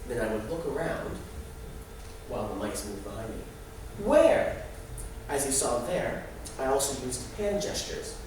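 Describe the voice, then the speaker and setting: A young adult man giving a halting, explanatory student presentation live in a room. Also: medium pitch, animated. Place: echoey indoor room. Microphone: distant microphone. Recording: very echoey room, distant mic, noisy.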